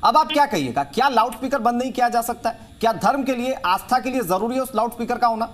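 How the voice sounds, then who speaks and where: A man's voice: extremely high pitch, animated, fast. A man anchoring a live TV news debate, pressing his questions insistently.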